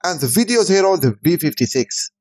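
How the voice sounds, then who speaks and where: A man's voice: fairly high pitch, lively intonation, fairly quick, a little mellow, no echo. A man who is a native Arabic speaker, giving a scripted voice-over product review as a tech reviewer.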